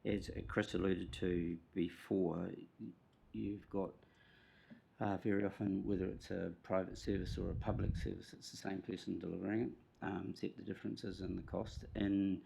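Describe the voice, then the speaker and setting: A man's voice: low-pitched, little pitch movement, moderate pace, gravelly, warm tone, slight background noise, rough recording. A man speaking as a committee member, thoughtful and searching for words. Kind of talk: council meeting discussion.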